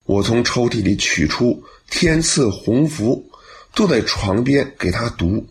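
Actor as an earnest, grateful son, animated delivery. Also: male voice, mid-range voice, a little slow. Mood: recounting. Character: grateful earnest son recounting his mother's recovery. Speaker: radio drama actor. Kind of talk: dramatic radio play acting.

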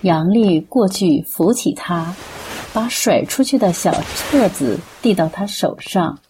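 A woman's voice: fairly low pitch, slow pace, dark tone, slight background noise. A woman narrating a scripted radio drama.

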